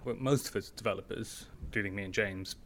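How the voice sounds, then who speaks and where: A man's voice: on the low side, a little fast, a bit bright, slight background noise. A young man, a startup founder, answering in a casual interview with long explanations full of fillers and restarts.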